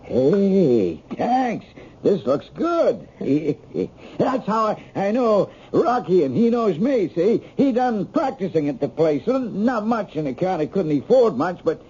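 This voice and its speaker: An actor playing a genial, hungry shooting range owner with halting, chuckling, streetwise talk in a radio drama. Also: male voice, high-pitched, very animated, dark tone, husky, heavily acted. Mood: genial, chummy. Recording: dull lo-fi old radio recording.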